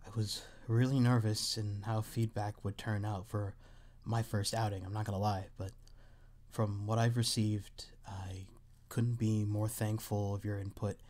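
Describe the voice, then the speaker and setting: A soft-spoken man, an audio roleplay creator, casually and a little hesitantly addressing his listeners. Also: lowish voice, flat delivery, measured pace.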